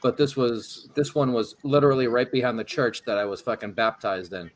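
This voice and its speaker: A man telling stories in an unhurried, laid-back way during a casual chat, with frequent ums. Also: mid-range voice, fairly even intonation.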